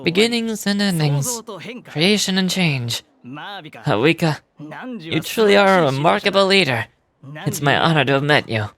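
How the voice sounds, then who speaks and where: An actor voicing a revived ancient ruler in a boy's body, wry and easygoing, in dramatic video game dialogue with a deliberate delivery. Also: mid-range voice, animated, a bit bright. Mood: solemn, admiring. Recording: game music behind the voice.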